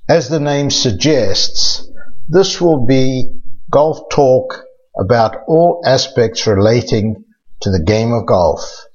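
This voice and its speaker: An older man with a South African accent, a golf instructor hosting his own podcast in a measured, scripted way. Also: medium pitch, lively intonation, darkish tone, highs cut off.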